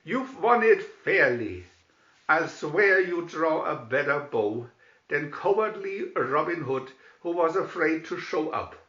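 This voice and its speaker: Man reading as scheming sheriff, bright and echoey. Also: on the high side, lively intonation, slow, muffled recording, heavily acted. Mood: grudging. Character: scheming, boastful Sheriff of Nottingham, adult man.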